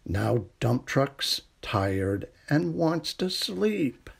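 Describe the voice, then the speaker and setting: A middle-aged man reading a children's picture book aloud in a gentle, unhurried bedtime manner, with a husky, creaky voice. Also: mid-range voice, very animated. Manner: gentle, unhurried bedtime delivery with hushed refrains. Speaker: storytime reader.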